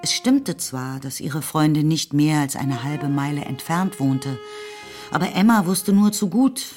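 A woman narrator reading literary prose for a radio play in a measured, expressive storytelling manner. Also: sits low. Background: faint music.

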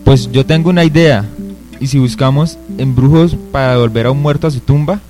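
A man's voice: medium pitch, fairly quick, over music, natural voice. A young man acting as an eager schemer full of odd ideas in an amateur radio drama. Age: young adult.

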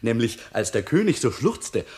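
An actor playing a naive, boastful young shepherd in a comic radio play, full of self-importance. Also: male voice, mid-range voice, big pitch swings, normal speed, darkish tone, close to an everyday voice. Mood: grand, earnest.

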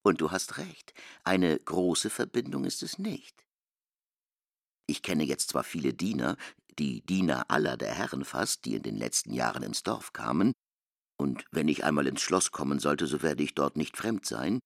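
Narrator: a deep-voiced man with a gravelly, expressive, dry read. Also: normal speed, dry recording. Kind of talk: radio play narration.

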